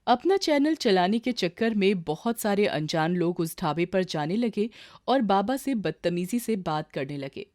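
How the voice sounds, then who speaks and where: A woman's voice: fairly low pitch, a little mellow. A woman reading a scripted story as its narrator.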